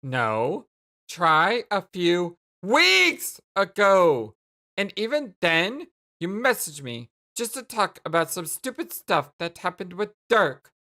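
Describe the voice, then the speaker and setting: A woman's voice: on the low side, very animated. A woman voicing an exasperated, hot-tempered young woman in a dramatic dialogue, stretching her words out emphatically. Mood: bitter. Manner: emphatic, drawn-out, shouting outbursts.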